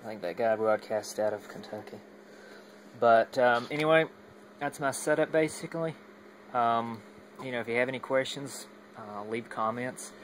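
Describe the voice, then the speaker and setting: A man's voice: mid-range voice, normal speed, a little hiss or hum, poor audio. A man casually demonstrating his radio gear in an unscripted, rambling way, often hesitating and trailing off mid-thought. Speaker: amateur radio hobbyist demonstrating equipment.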